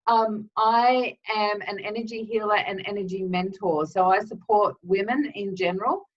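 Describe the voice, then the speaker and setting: A woman energy healer hosting a live webinar with a slightly croaky throat, chatting casually with lots of ums and greeting viewers by name. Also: mid-range voice, moderate pace, a little hiss or hum. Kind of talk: casual live-stream talk. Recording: distant, dull lo-fi webinar audio.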